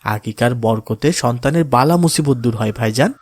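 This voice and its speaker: A man voicing a pious, kindly father in a moral cartoon, giving gentle counsel in a calm, polite, instructive way. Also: medium pitch, a little fast, dry recording, close to an everyday voice. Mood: reassuring, pious. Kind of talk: cartoon voice acting in moral story.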